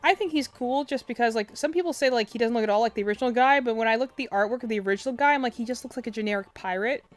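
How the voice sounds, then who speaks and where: A young woman with a quiet, soft voice chatting casually on a game stream, rambling with lots of fillers and restarts, close to her desk mic. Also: fairly high pitch, racing, faint music behind. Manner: rambling, filler-heavy, self-interrupting. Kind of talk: casual live-stream chat. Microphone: desk mic close to face.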